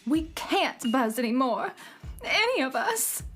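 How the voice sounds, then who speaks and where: A vain little cartoonish bee for a children's radio play. The voice is high and swoops around, drawing out its boasts with weepy self-pity over music. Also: female voice, big pitch swings, takes it slowly, fairly bright tone, heavily acted. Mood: plaintive. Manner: boastful, melodramatic, self-pitying. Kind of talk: children's radio drama acting.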